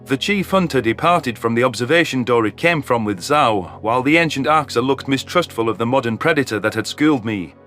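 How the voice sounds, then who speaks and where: A man's voice: medium pitch, lively intonation, a little fast, few pauses, a bit bright. A man reading scripted first-person story narration. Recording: music under the voice. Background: music.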